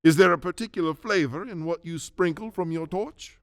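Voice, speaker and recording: A man's voice: fairly high pitch, a little fast, fairly bright tone, no echo, clean studio sound. A man playing an anxious, humbled man who pleads with spirits, earnest and formal, in a radio drama. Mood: curious, challenging.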